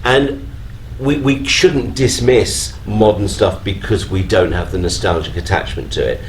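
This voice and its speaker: Deep-voiced man on a live panel. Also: fairly even intonation, measured pace. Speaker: convention panel guest. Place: on stage at a fan convention. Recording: noisy live event room.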